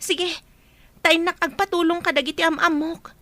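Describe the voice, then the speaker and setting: A woman's voice: extremely high pitch, steady tone, normal speed, a bit bright, no room sound. A woman acting a helpful, take-charge young woman in an Ilocano radio drama. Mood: resolute.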